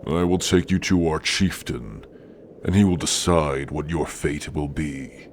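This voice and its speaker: A man voicing a slow, low, menacing orc warrior. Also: slight background noise. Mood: stern, menacing. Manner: deliberate, domineering, menacing. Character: grim, menacing male orc warrior of frozen mountains. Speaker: ASMR roleplay performer. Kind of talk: slow, scripted ASMR roleplay monologue addressed to listener.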